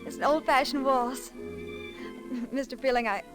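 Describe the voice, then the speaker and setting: Woman acting a high-voiced, nervous, sweet young lady. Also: normal speed, music in the background, natural voice. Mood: gently inviting. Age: young adult. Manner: breathlessly hesitant, courteous. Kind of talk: 1940s-50s radio drama acting.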